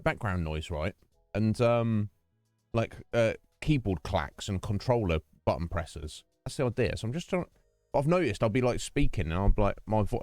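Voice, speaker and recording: Rambling, low-voiced streamer man. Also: a little mellow, no room sound, clean studio sound. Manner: rambling and chatty, trailing off and restarting. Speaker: livestream host. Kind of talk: casual livestream chat to viewers. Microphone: desk microphone with noise gate.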